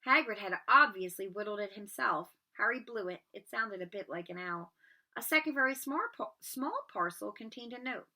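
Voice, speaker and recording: A woman narrating a storybook aloud in an expressive read-aloud style. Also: medium pitch, normal speed.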